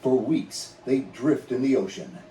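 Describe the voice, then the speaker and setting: A man's voice: medium pitch, a little slow, mellow, slight background noise. A man reading a children's read-along story in a dramatic, scripted storytelling manner. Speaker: narrator. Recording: roomy, distant sound under music.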